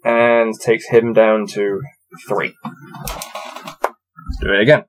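A man's voice: lowish voice, drawn-out words, a little hiss or hum, poor audio. Young man reading a gamebook aloud. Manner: amused, laughs often, counts out dice rolls. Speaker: reader playing a gamebook. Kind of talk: casual read-aloud with dice commentary. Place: small cluttered room with shelves, indoors.

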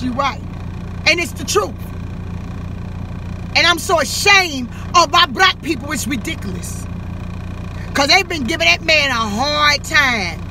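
Middle-aged female pastor, high-pitched and impassioned, vlogging emphatically into a phone in a car with clear background noise. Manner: emphatic, repeating phrases, preacherly. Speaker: pastor and ministry leader. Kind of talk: impassioned religious car vlog. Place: inside a car. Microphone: phone at arm's length. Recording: noisy phone recording in car.

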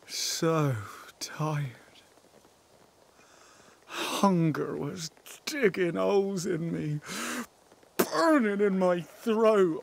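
A man playing a guilt-ridden, devout settler in a video game drama, with a breathy, halting, anguished delivery. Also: high-pitched, full character voice. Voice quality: breathy, husky, breaking into whispers.

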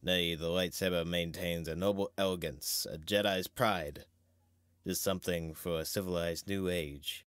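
A man playing a wise, grave old knight and mentor in a measured, theatrical Shakespearean reading. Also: sits low, fairly even intonation, a bit bright, clean tone, no echo, clean studio sound. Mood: solemn, instructive.

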